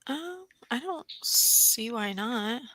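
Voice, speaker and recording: A woman's voice: a little high, animated, slow pace, bright, forceful tone, no room sound, low-quality recording. A tired woman chatting informally as co-host of a casual group livestream, giggly and exclamatory, close to her mic.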